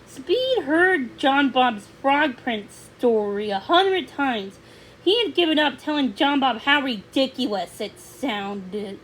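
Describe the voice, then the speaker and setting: A woman narrates a children's storybook in a high, slow voice. She reads a little haltingly, stumbling on words. Faint noise sits behind her. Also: lively intonation, a bit bright, poor audio.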